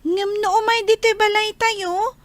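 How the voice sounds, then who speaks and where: A woman's voice: extremely high pitch, steady tone, unhurried, no echo. A woman playing a curious, wistful young child in a Filipino audio drama. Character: young child, curious granddaughter missing an absent father.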